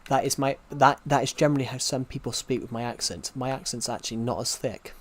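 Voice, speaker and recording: A man's voice: medium pitch, fairly even intonation, quick pace. A British man with a West Country accent, a streamer chatting casually with his viewers. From Great Britain. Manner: rambling, restarts and repeats phrases. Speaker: game streamer.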